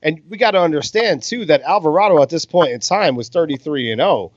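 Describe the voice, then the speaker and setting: A man giving casual, steady boxing analysis as a podcast co-host. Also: a little high, fairly quick. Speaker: podcast co-host commentating on fights. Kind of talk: casual fight commentary. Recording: dull, dry lo-fi recording.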